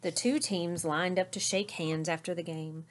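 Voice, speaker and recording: A middle-aged woman reading a children's story aloud in an expressive narrating style. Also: fairly low pitch, moderate pace. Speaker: storybook reader. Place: small indoor room with curtain backdrop.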